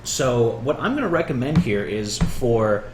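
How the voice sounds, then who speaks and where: A young man hosts a casual tabletop game stream, explaining each rule patiently and step by step. His voice sits at an ordinary middle pitch. The room is echoey, with a faint hiss underneath. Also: big pitch swings, a little slow. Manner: patient, walks through rules step by step. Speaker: host explaining the game rules.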